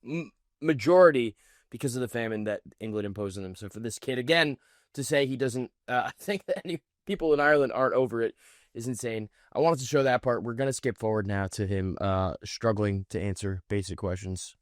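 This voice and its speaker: A man's voice: mid-range voice, fairly quick, dry recording, studio quality. A man hosting a political reaction video, commenting in an indignant, mocking way. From United States. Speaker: commentary host.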